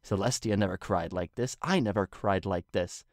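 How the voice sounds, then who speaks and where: Casting: male story narrator, lower register, earnest and deliberate dramatic delivery, dry sound. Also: no room sound. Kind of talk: dramatic scripted narration of fan fiction.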